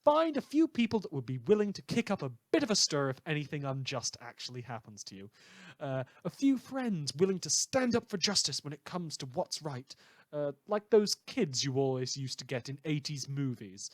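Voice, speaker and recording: A man's voice: fairly high pitch, very animated, normal speed, no room sound. A man playing a chirpy, wry radio host in a scripted audio drama, with theatrical emphasis. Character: chirpy male pirate radio host devoted to a goddess. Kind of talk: scripted fictional call-in radio broadcast.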